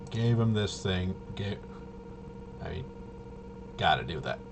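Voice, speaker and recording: A man casually talking himself through a tabletop game walkthrough, halting and unscripted, muttering as he thinks. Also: sits low, big pitch swings, creaky, background noise. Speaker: host of a tabletop roleplaying video.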